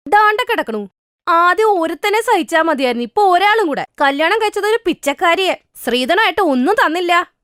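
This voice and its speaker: Woman acting a shrill, spiteful sister-in-law. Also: lively intonation, moderate pace, no room sound, clean studio sound. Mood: bitter. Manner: shrill, accusing, loudly complaining. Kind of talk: cartoon moral story acting.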